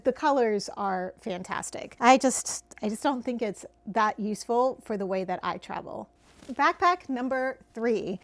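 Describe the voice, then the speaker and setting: A middle-aged woman giving a chatty product review to camera, slightly hoarse from losing her voice, often repeating words for emphasis. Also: a little high, dry recording. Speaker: travel gear reviewer.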